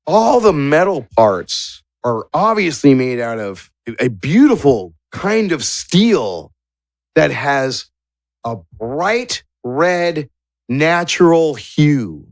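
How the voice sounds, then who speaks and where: A man narrating a tabletop game as game master, searching for words in drawn-out descriptive phrases. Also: on the high side, very animated, dry recording, clean studio sound.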